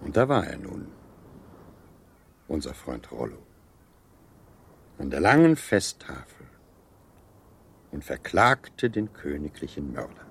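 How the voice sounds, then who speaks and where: Husky, swooping, deep-voiced gallant seducer. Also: male voice, big pitch swings, slow pace, pauses often, exaggerated voice. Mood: suave, dramatic storytelling. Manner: theatrical, suave, savouring storytelling. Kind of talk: German radio play acting.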